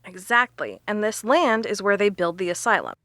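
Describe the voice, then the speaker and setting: A confident researcher in an audio drama. Her voice is bright and forceful, recorded close and dry, and she lays out her theories with emphasis. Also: medium pitch, big pitch swings, normal speed, dry recording. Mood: explaining, intent. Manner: emphatic, explanatory.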